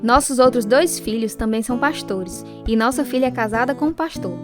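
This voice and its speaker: An actress playing a devout, thankful pastor's wife looking back on her life in measured radio drama narration. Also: a little high, over music, natural voice. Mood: thankful, reflective. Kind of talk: scripted first-person radio drama narration.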